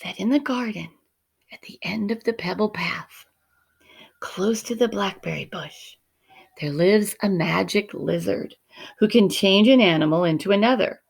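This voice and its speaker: A woman voicing a gentle, forlorn male wind-up toy mouse in a storybook, hushed and confiding. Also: medium pitch, measured pace, creaky, husky. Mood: secretive. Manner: hushed, sighing.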